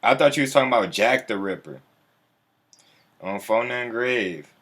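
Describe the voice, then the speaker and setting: A man's voice: medium pitch, lively intonation, moderate pace, fairly bright tone. A man hosting a casual livestream, talking in a laid-back, slangy, profane way and chuckling often. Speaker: livestream host.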